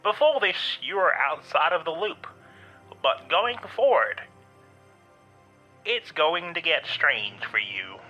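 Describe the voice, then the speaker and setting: A man playing the theatrical radio host of an otherworldly hotel in an audio drama, speaking in a deliberate showman's way. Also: on the high side, big pitch swings, muffled recording, faint music behind, heavily acted. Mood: showy, welcoming.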